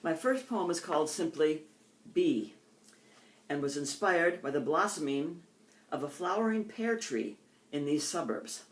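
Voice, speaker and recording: Low-voiced older American woman reading poetry. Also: lively intonation, measured pace. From United States. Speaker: poet reading own work. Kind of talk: poetry reading with informal introductions.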